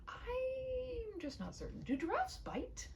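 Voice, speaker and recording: A woman's voice: very high voice, wildly swooping pitch, takes it slowly, distant mic, slight background noise, voice pushed up, full character voice. A woman voicing an earnest, courteous shopkeeper husband who talks in a stiffly formal, worried way for a storybook.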